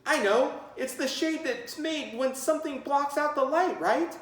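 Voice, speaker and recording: Man acting young storybook groundhog pupil, raised pitch, eager, questioning, echoey room. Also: measured pace. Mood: eager, curious.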